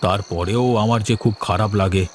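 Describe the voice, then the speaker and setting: A man playing a gentle, henpecked village father in a fairy-tale cartoon, speaking in a mild, placating, weary way. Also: lowish voice, fairly even intonation, brisk, slight background noise, natural voice. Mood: weary tenderness.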